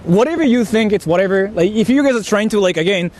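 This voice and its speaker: A man from Japan with a Japanese accent chatting casually to livestream viewers in animated, repetitive, halting phrases. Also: high voice. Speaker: live-streamer. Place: outdoors in a city.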